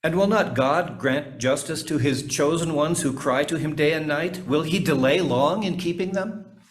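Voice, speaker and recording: A man's voice: fairly high pitch, moderate pace. A man reading Bible passages aloud as a scripture reader in a church service.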